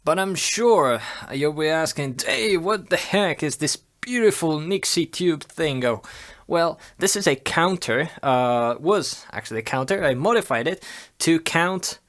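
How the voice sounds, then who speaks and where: A man giving a casual hands-on tutorial, talking in a halting way as he thinks aloud. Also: on the high side, expressive, a bit bright. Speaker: audio hobbyist demonstrating tape machines.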